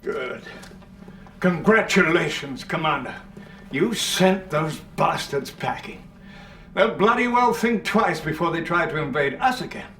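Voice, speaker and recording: An actor playing a restrained British military commander in a video game cutscene, dry and formal, with a British accent. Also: male voice, on the high side, animated, measured pace, a bit bright. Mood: dry approval. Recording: slightly distant microphone.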